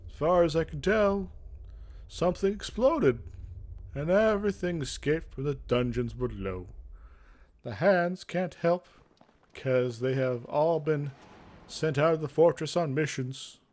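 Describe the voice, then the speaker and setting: A man voicing a scarred young fantasy game warrior, bitter but earnest and eager to prove himself, with a solemn, measured, dramatic delivery. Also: on the high side, lively intonation, darkish tone. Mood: grave.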